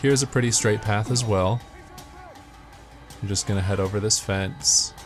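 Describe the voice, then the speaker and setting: A man's voice: fairly low pitch, normal speed, faint music behind. A man giving matter-of-fact, step-by-step instructional commentary as he narrates a video game walkthrough.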